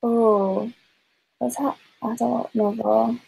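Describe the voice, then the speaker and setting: A woman's voice: on the high side, level tone, slow pace, gravelly, dark tone, husky, low-quality recording. A woman giving casual, tentative answers as a quiz contestant.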